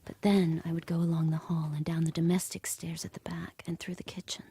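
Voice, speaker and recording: An actress playing a fearful, guarded captive handmaid with a hushed, breathy voice in an audio drama. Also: female voice, low-pitched, little pitch movement, brisk, a little hiss or hum. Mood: intimate, tense. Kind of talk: audio drama acting and narration.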